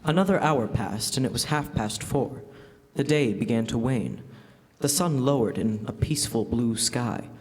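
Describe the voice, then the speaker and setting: A young man giving measured, suspenseful scripted narration in classic radio drama style. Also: mid-range voice, expressive, faint music behind. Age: young adult. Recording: echoey stage hall.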